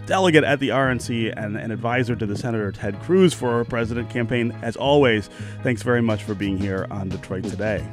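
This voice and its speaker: A man hosting a radio interview in an informal, lightly teasing and halting way. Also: mid-range voice, soft background music. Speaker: radio host. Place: radio studio.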